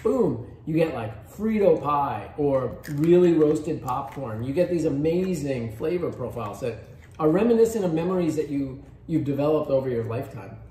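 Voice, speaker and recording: Laid back guy walking folks thru a tasting, warm mellow voice, roomy echo. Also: on the high side, lively intonation, normal speed. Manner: easygoing, guiding step by step. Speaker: cheese shop founder leading a tasting. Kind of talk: casual tasting instruction. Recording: echoey room, faint background noise.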